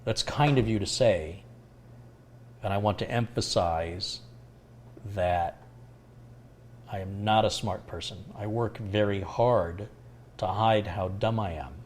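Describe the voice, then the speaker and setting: Calm, low-voiced middle-aged man. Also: slight background noise. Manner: calm, unhurried, reflective. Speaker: tech livestream host answering viewer questions. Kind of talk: casual live Q&A chat.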